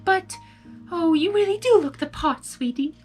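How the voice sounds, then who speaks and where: A woman's voice: extremely high pitch, expressive, normal speed, faint music behind, pitched above the performer's own voice. A woman voicing a refined, theatrical female unicorn, prim and affectionate, in a storybook reading. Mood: sighing, admiring.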